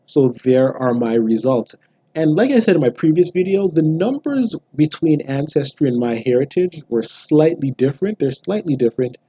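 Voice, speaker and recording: A man in his thirties from Canada, talking casually to camera at home about his results, explaining in a relaxed way with frequent ums and restarts. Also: a little high, normal speed, breathy edge, no echo, lo-fi sound. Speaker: genealogy vlogger. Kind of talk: casual direct-to-camera vlog. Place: small bright room indoors, seated at home.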